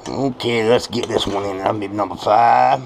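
A man's voice: lowish voice, fairly even intonation, normal speed. A man with an American accent gives a casual, off-the-cuff home cooking demonstration, folksy and salty-tongued, often repeating himself. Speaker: home cook presenting a recipe. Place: small home kitchen at the stove. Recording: clear kitchen noise, pan and utensil clatter. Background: frying, stirring, clinking dishes.

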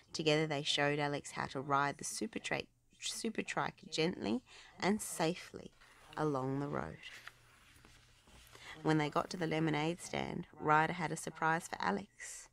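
Children's book narrator: female, lower register, some vocal fry, expressive read-aloud delivery. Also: unhurried.

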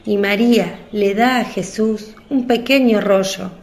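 A woman's voice: mid-range voice, unhurried. A woman reading scripted narration for a religious radio drama.

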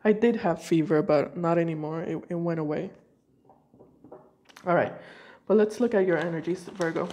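A woman's voice: lowish voice, moderate pace, long pauses, dark tone, slight background noise. A woman reading tarot cards for her viewers, talking casually and thinking aloud with hesitant restarts, while sick with a cold. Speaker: tarot reader. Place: home room with decorated card table.

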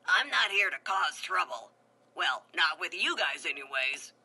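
A smug, scheming man talks loudly about making trouble, as a woman puts him on. The voice is bright and breathy, with a sly drawl to it. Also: on the low side, lively intonation, unhurried, exaggerated voice.

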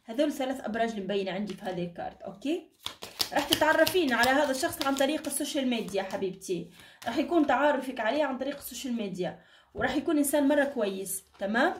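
A woman speaks at a brisk clip in an echoey room, a little away from the microphone. She reads cards informally and reassuringly, with endearments and blessings, her voice somewhat tired. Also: high-pitched, animated, recorded from a distance, slight background noise. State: tired, strained voice. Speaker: card reader, channel host. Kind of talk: informal fortune-telling reading to camera. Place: indoor room at a table.

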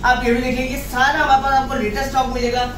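A middle-aged man giving an emphatic, repetitive phone seller's sales pitch to camera. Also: level tone, fast. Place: small indoor shop office. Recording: roomy, distant mic, noisy background.

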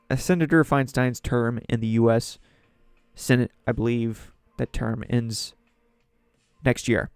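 A young man with a sore, raspy throat hosting a solo news commentary podcast from a small home studio, chatty with wry asides. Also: medium pitch, a little mellow, dry recording. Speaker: podcast host. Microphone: studio mic on boom, headphones.

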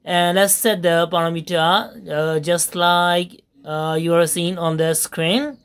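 Lowish-voiced woman, walking through software steps slowly with lots of uhs. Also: fairly even intonation, smooth. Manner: step-by-step instructions with frequent fillers and pauses. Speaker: software tutorial narrator. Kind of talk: screen-recorded software tutorial voice-over.

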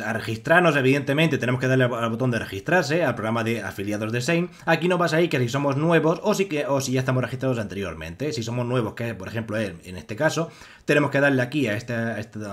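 A young man with an accent from Spain narrating a screen-recorded tutorial, rambling with many fillers and restarts. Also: mid-range voice. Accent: Spanish from Spain. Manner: rambling, heavy use of filler "pues" and restarts. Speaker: online money-making tutorial host.

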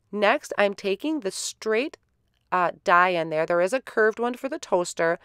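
A woman hosting a card-making tutorial in a relaxed, step-by-step voice-over, a little stuffy from a head cold. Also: lowish voice, smooth, no echo, studio quality. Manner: relaxed, step-by-step explaining with fillers.

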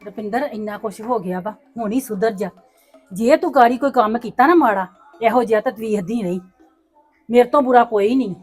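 A reproachful middle-aged mother, played by a woman, lectures her daughter about shame and family honour. Her voice is high. Soft music plays behind her. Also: fairly quick, slightly rough. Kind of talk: Punjabi family drama.

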